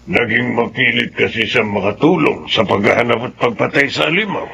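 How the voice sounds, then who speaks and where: A man playing a calm, probing, middle-aged village captain in a radio drama. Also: mid-range voice, fairly quick, close to an everyday voice. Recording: clearly noisy radio-like recording.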